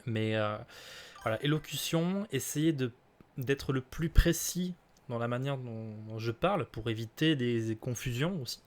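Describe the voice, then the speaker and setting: A man's voice: medium pitch, unhurried. Casual streamer, man in his late twenties, hesitant, filler-heavy delivery. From southern France. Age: late 20s. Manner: hesitant, many fillers and restarts, laughs easily. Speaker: streamer answering viewer questions.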